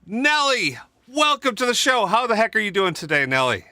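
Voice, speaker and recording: Bright, lively male stream host. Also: high-pitched, normal speed, no echo, clean studio sound. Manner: energetic, jokey, talkative. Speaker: livestream host. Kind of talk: casual livestream hosting.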